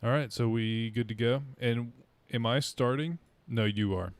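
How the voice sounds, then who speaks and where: A man's voice: medium pitch, measured pace, clear, resonant tone, no echo. A man co-hosting a casual podcast, chatting in an easygoing, upbeat way. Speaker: podcast co-host.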